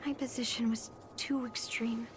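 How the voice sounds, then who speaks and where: A woman playing an earnest, stubborn but humbled young swordswoman in a video game, speaking in a measured way. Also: fairly high pitch, level tone, background noise, lightly acted. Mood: humbled, reflective. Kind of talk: scripted game voice acting.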